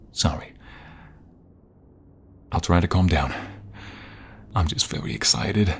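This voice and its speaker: A man playing a tender, excitable husband and father-to-be in an intimate ASMR audio roleplay, halting and emotional, often dropping toward a whisper. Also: sits low, vocal fry, slight background noise, full character voice. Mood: flustered, breathless. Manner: halting, emotional, repeats himself. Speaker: voice-over roleplay performer.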